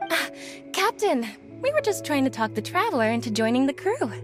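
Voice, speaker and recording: Game deckhand: young, female, keen, a bit sheepish, very animated high voice. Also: fairly quick. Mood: coaxing. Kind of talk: scripted game dialogue. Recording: game audio with prominent music behind.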